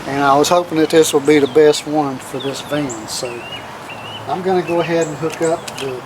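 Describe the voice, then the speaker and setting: A man with a somewhat higher-pitched voice hosts a casual how-to demonstration. His talk is plain and folksy, with informal grammar. He is recorded outdoors, with clearly audible ambient and vehicle noise around him. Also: fairly even intonation, moderate pace. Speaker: host of a do-it-yourself radio video.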